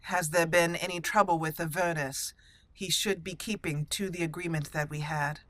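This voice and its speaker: A woman's voice: fairly low pitch, steady tone, normal speed, a bit bright, natural voice. A woman roleplaying a gracious, formal female elf mage and warden in a fantasy video game. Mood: businesslike.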